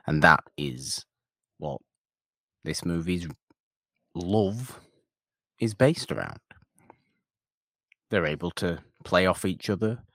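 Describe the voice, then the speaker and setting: Low-voiced rambling man chatting about films. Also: no room sound. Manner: rambling, animated, self-interrupting. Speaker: podcast co-host. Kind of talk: casual film discussion.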